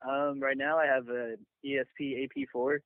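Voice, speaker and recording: A man being interviewed as a touring bassist, chatting casually and loosely in a laid-back way over a lo-fi phone line. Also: fairly even intonation, normal speed, a crowd in the background. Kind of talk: casual chat.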